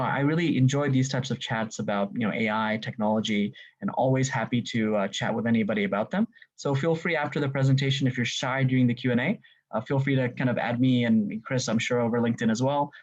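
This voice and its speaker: Husky man presenting over a dull call. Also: medium pitch, fast. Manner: filler-heavy, many "you know" and "right?". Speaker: webinar presenter. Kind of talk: business presentation. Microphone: video call.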